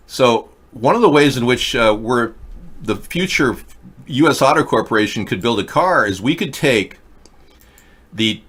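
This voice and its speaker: A man hosting a political talk show, pitching ideas in an earnest, rambling monologue. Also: a bit bright. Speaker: host.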